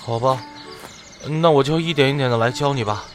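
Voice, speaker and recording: A man playing a gentle, earnest young priest adventurer in a fantasy audio drama, polite and a little hesitant. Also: mid-range voice, brisk, soft background music, close to an everyday voice. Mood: reassuring.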